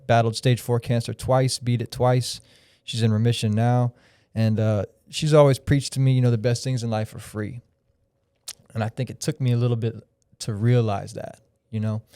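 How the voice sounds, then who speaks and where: Man in his thirties, podcast guest, smooth mellow voice, rambling and reflective. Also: fairly low pitch, no echo, clean studio sound. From Florida. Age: 30s. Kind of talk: casual podcast chat.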